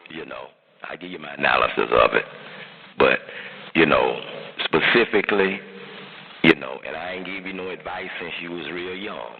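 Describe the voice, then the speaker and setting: A man's voice: extremely low pitch, normal speed, gravelly, long pauses. A middle-aged man talking casually on a phone call, giving avuncular advice and repeating himself for emphasis. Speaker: uncle advising his jailed nephew. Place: phone line. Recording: muffled, noisy recorded jail phone call.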